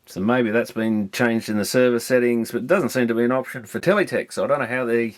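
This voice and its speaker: A man's voice: medium pitch, fast talker. A man with a mid-range voice presents hands-on electronics repair in a casual, unscripted way. He thinks aloud as he goes, rambling a little but staying matter-of-fact.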